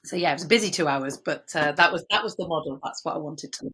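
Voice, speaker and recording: A woman's voice: sits low, fast talker, breathy edge. A middle-aged woman from Bristol with a slight West Country accent, telling her story as a guest on a business podcast and filling her talk with "um" and "uh". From Bristol, England. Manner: lively storytelling with many fillers. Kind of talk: podcast interview.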